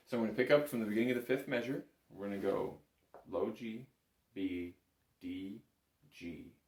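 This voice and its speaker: A man giving a step-by-step music lesson as an instructor, patiently counting and calling things out. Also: lowish voice. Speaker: bagpipe instructor. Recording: roomy, echoing room sound.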